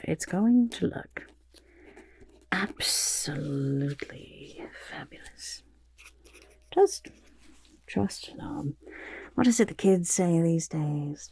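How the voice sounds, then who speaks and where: A woman voicing a vain, excitable goblin artist in an ASMR roleplay, often whispering, with a gravelly creak and pompous drawn-out words. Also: on the low side, heavily acted. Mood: smug, delighted. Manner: third-person self-praise, pompous drawn-out words.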